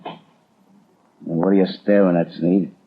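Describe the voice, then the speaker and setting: A muffled, slightly noisy 1930s radio recording. a tough gangster with a low voice. He talks in blunt slang and threatens like an escaped convict. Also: little pitch movement, takes it slowly. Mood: menacing. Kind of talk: 1930s radio drama acting.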